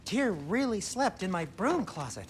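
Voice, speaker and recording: A fussy, tidy dwarf craftsman whose voice rides high and bounces all over the scale. He talks in an incredulous, fretting way, over faint clatter and background noise. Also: big pitch swings, unhurried.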